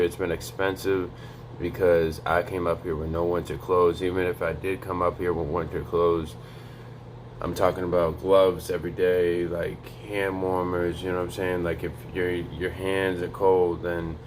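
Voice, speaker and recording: A young man with a very deep, flat voice, keeping it hushed and monotone as he gives a casual, profane pep talk. He sounds streetwise and easygoing, and a faint hum sits behind him. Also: normal speed. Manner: low, quiet monotone to avoid waking a neighbor. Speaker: vlogger. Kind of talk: motivational vlog talk. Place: home in North Dakota.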